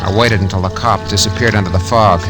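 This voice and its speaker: A man's voice: deep voice, fairly even intonation, fast talker, close to an everyday voice. An actor playing a cynical, scheming swindler with clipped tough-guy slang in a 1940s radio crime drama. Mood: cold, scheming. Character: cynical scheming male swindler, cool, coldly murderous narrator. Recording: 1940s radio recording with loud music.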